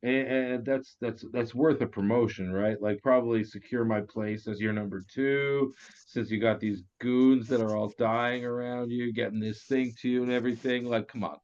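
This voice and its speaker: A man's voice: mid-range voice, steady tone, moderate pace, a little mellow, dull audio, natural voice. A man playing a whiny, pushy henchman who stammers and pleads, in a comedy table read. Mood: pleading, indignant. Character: eager, whiny male henchman demanding a promotion.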